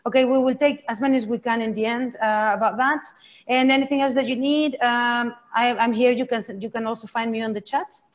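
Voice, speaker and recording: Woman with a slightly high voice hosting a webinar. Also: fairly even intonation, highs cut off. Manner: friendly, brisk, guiding the audience. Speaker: webinar host. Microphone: video call.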